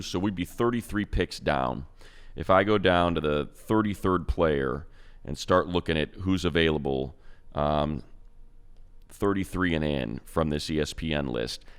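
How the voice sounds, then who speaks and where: Very deep-voiced, smooth-toned man. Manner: animated, rambling, stacks up names with restarts. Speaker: co-host, team radio play-by-play announcer. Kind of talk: casual football draft analysis chat.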